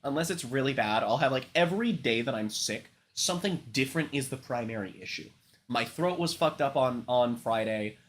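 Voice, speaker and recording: A congested, sick man hosts a livestream, his talk loose and rambling, studded with swearing and ums. His voice sits at an ordinary middle pitch, and a cough breaks through now and then. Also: animated, moderate pace. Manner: rambling, frequent swearing and filler words. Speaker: livestream host. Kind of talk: casual chat.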